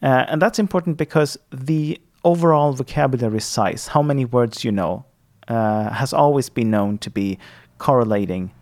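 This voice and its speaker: A man's voice: mid-range voice, normal speed, darkish tone. A man in his thirties from Sweden with a Swedish accent, speaking English as a second language in a hesitant casual monologue full of fillers and restarts. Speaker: linguistics student.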